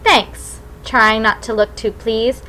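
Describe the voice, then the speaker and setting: A woman's voice: sits high, lively intonation, moderate pace, fairly bright tone. A woman narrating a novel in the first person as a scripted audiobook reading.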